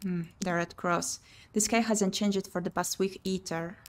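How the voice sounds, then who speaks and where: A woman's voice: mid-range voice, fairly even intonation, a little slow, slight background noise. A woman streamer playing a visual novel, reading the game text aloud expressively with her own commentary. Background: game music.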